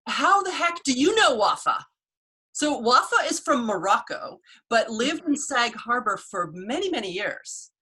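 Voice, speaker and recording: A woman's voice: fairly high pitch, very animated, measured pace, husky. A woman from the United States hosting a casual video call chat, telling stories with frequent laughter. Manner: chatty storyteller, warm laughs. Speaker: host.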